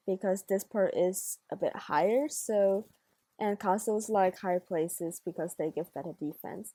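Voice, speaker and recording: A woman's voice: medium pitch, dark tone, no room sound. A young woman whose first language is Chinese, chatting casually as she builds in a game, thinking aloud and correcting herself. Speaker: Minecraft builder and narrator. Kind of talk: casual gameplay commentary.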